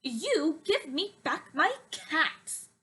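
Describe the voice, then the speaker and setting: A woman voicing an angry male peddler in a children's picture book, with emphatic, drawn-out phrasing. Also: high-pitched, big pitch swings, bright, forceful tone, exaggerated voice. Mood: indignant, scolding. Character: flustered, angry male cap peddler scolding thieving monkeys.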